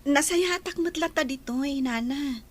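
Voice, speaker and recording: A dutiful young working woman, played by a woman speaks in a story drama. Her voice is high, and she is earnest about providing for her family. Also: a little slow.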